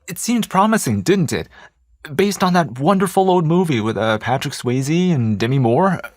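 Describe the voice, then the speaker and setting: A man's voice: a little high, big pitch swings, normal speed, no echo, clean studio sound. A young man playing an earnest, inquisitive friend in an audio drama. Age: young adult. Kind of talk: scripted audio drama dialogue.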